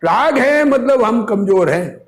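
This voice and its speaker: A man's voice: very high-pitched, animated, takes it slowly. A man giving an informal sermon, reading from a text and explaining it didactically, repeating phrases and posing rhetorical questions. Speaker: religious teacher reading and explaining a discourse.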